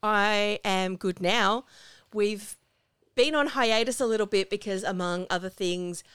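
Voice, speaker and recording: Australian woman, podcast co-host, slightly husky, rambling casual chat, dry sound. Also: medium pitch, measured pace, fairly bright tone, dry recording. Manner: chatty, rambling, self-deprecating. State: slightly husky after laryngitis. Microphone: home recording over video call.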